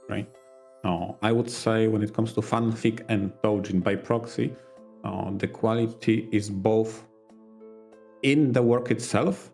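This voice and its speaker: A man co-hosting a podcast, explaining his points casually in a measured, analytical manner. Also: fairly low pitch, darkish tone. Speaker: podcast co-host.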